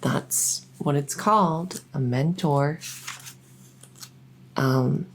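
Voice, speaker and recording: Slow, creaky, awkward vampire girl played by a woman. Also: deep voice, slight background noise, heavily acted, low-quality recording. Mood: earnest, hesitant. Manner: halting, hesitant, stammering. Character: awkward, earnest, flustered female fledgling vampire salesperson. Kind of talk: ASMR audio roleplay.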